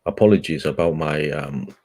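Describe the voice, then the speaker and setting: A man whose first language is Cantonese, hosting a book club and reading a nonfiction book aloud in a measured way, pausing on fillers between phrases. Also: very deep voice, darkish tone.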